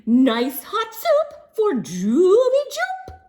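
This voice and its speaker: A woman's voice: very high voice, wildly swooping pitch, drawn-out words, a little mellow, voice pushed up, full character voice. A woman voicing a joyful storybook grandmother who breaks into sing-song exclamations. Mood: exuberant, singing. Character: exuberant, singing Greek grandmother, joyful and embarrassing.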